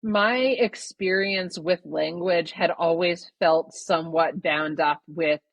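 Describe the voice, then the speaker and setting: A woman from Canada, a guest author answering podcast interview questions in a thoughtful, measured way that qualifies her claims. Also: fairly low pitch, no room sound, dull audio.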